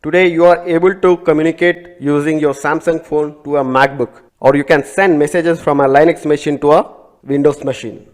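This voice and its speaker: A man's voice: fairly high pitch, steady tone, normal speed. A man whose first language is Telugu, teaching a recorded networking class in a methodical way, often rephrasing terms and correcting small slips as he goes. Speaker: instructor.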